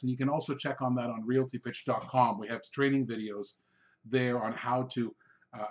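A man, a native Hungarian speaker, hosting an informal solo coaching podcast, talking in an emphatic, rambling way and repeating himself to drive points home. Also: mid-range voice. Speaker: podcast host, real estate sales coach. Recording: dull, lo-fi podcast recording.

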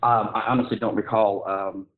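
A man's voice: fairly low pitch, flat delivery, normal speed, fairly bright tone, poor audio. A man speaking as a guest author in an informal intellectual discussion, arguing his case and often rephrasing mid-sentence.

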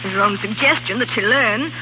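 A woman's voice: mid-range voice, brisk, lightly acted. A woman playing a bitter, insolent housekeeper in a 1930s radio drama, with a pointed, defiant way of talking. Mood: needling, defiant. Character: bitter, insolent housekeeper of ten years' service. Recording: dull, faintly noisy 1930s radio recording.

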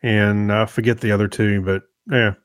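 A man's voice: on the low side, level tone, no room sound. Laid-back man in dry, close sound. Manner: laid-back, rambling, halting. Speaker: podcast co-host. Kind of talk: casual, unscripted podcast chat.

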